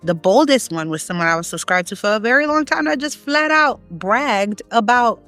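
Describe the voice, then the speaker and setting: A woman's voice: expressive, moderate pace, sharp tone, soft background music. A woman from Haiti giving an emphatic, opinionated casual monologue, her voice climbing when she presses a point. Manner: emphatic, rising in pitch when making a point. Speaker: online content creator.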